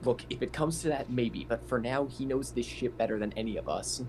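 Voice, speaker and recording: A man thinking aloud haltingly as a player in an online tabletop roleplaying game. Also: mid-range voice, a little mellow. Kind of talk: casual improvised roleplay talk. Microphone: online voice chat mic. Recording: clearly noisy home mic.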